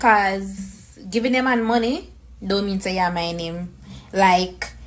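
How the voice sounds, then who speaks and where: A woman's voice: medium pitch, a little hiss or hum. Woman with a local Jamaican accent. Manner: mixing English with patois, emphatic. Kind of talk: clip of casual opinion talk.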